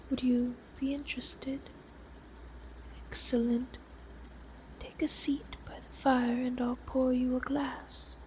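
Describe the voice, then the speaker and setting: Female performer for gracious vampire countess: hushed breathy whisper, unhurried pace, old-world formality, intimate ASMR roleplay, some background hiss. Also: sits high, rough recording. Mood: inviting, sly. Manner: formal, genteel, old-fashioned phrasing. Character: elegant, lonely vampire countess, gracious and sly hostess. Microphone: close binaural microphone. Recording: close binaural whisper with audible hiss.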